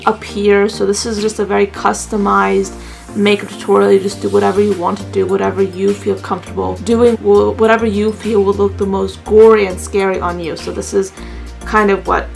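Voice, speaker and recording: Young woman with a gravelly fry. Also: medium pitch, flat delivery, brisk, faint music behind. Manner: chatty and rambling, many fillers. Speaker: makeup vlogger. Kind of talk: casual makeup tutorial chat.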